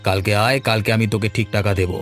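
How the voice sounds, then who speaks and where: A man's voice: on the low side, fairly even intonation, racing, soft background music, close to an everyday voice. A man voicing a shifty, irritable married villager in a cartoon, blustering and dismissive. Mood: evasive, scheming. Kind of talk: cartoon dialogue acting.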